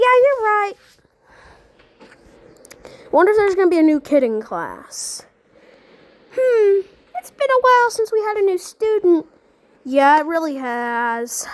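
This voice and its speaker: A performer voicing excitable, bickering schoolkids at play in improvised dialogue, with casual, teasing slang and exclamations. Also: very high voice, exaggerated voice. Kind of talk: playful improvised character dialogue.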